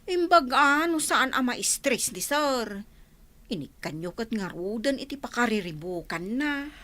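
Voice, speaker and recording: High, bright, nosy confidante. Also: female voice, animated, unhurried. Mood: probing, exclaiming. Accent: Ilocano. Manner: pressing, questioning. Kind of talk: radio drama acting.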